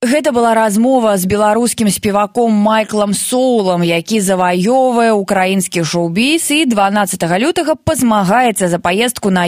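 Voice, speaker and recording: A woman co-host reads the podcast's closing announcements in a steady, scripted delivery. Her voice sits at a middle pitch. The sound is dry and close, with no room echo.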